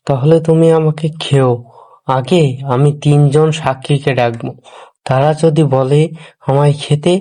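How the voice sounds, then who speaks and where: A man's voice: mid-range voice, warm tone, natural voice. An actor playing a clever, fretful Brahmin pandit in a cartoon fable, a man's voice. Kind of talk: cartoon voice acting.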